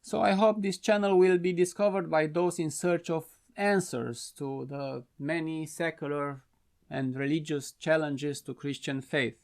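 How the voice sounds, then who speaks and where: Romanian-accented man, gravelly earnest delivery. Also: fairly high pitch. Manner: measured, earnest explanatory delivery. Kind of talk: prepared talk to camera. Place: simple home-office studio.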